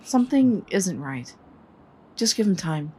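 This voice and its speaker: A woman's voice: on the low side, big pitch swings, measured pace, darkish tone, a little hiss or hum. A woman playing a loyal, protective soldier in a sci-fi drama, direct and outspoken in her delivery. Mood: concerned, protective. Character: loyal, protective female space trooper, outspoken. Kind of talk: sci-fi audio drama acting.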